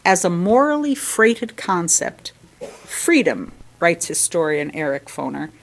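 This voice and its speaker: A woman reading a written sermon aloud in formal, measured phrases, with deliberate emphasis and pauses. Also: medium pitch. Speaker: lay reader giving a sermon. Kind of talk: sermon read from a written text.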